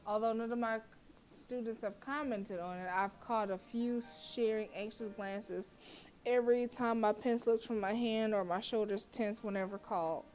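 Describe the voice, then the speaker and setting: A woman's voice: fairly high pitch, steady tone, normal speed, a little mellow, a little hiss or hum, low-quality recording. A woman streamer who reads a horror visual novel aloud in a dramatic way and breaks off for profane, joking asides.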